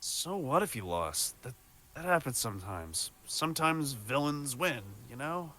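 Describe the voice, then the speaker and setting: A man's voice: medium pitch, expressive, a little slow, pushed and bright, slight background noise. An actor playing a cocky young male supervillain with a casual, theatrical manner in an audio drama. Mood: groggy, sheepish.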